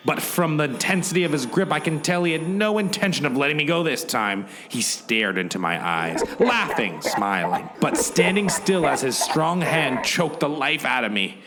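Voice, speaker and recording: A man's voice: mid-range voice, big pitch swings, normal speed, hardly any pauses, very noisy, natural voice. A man playing a melodramatic, self-important action hero who narrates in mock-epic style in a comedic audio drama. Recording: music bed underneath.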